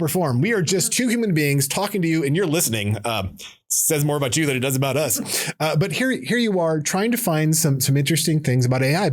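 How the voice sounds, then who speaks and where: A middle-aged man co-hosting a casual podcast, talking earnestly and with self-deprecating humor, often restarting his sentences. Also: a little high. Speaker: podcast co-host. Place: studio.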